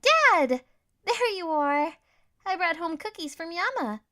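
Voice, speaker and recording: Woman voicing a bright, very high-pitched young girl. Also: very animated, slow, no echo, clean studio sound, heavily acted. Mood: cheerful, excited. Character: bright, caring young girl, doting little sister. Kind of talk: anime fan audio drama.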